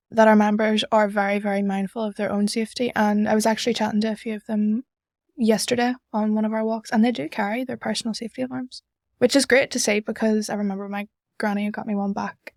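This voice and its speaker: A woman's voice: medium pitch, no room sound, studio quality. A young woman with a Northern Irish accent chatting as an interview guest. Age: young adult. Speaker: student society member, podcast guest.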